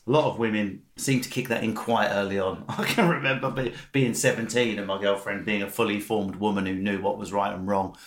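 Excitable jokey male podcast host. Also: mid-range voice, echoey room. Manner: excitable, jokey, tumbling restarts. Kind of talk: casual chat.

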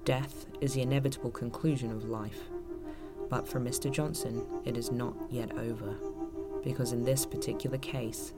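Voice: medium pitch, fairly even intonation, moderate pace, natural voice. An actor narrating a dystopian audio drama in a solemn, grave manner, as scripted storytelling. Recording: loud music under the voice. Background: music.

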